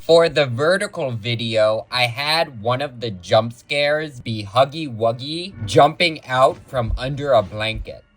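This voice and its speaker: A man's voice: medium pitch, measured pace, few pauses, rough recording. A man narrating a behind-the-scenes look at his own videos as their creator and host.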